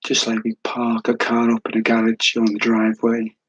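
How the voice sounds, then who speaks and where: Meditation guide, male. Soothing, breathy delivery with very little pitch movement, gentle pauses, intimate dry recording. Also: dry recording. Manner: calm, unhurried, soothing, with long pauses. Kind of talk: guided meditation, slow spoken instruction.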